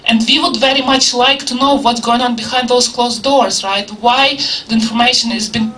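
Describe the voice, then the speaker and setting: A woman's voice: a little high, steady tone, normal speed, a bit bright, soft background music. A woman whose first language is Ukrainian, speaking on a discussion panel in English. She talks earnestly and searchingly, with many restarts and "right?" asides. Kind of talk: unscripted talk at a panel discussion. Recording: distant mic with faint room noise.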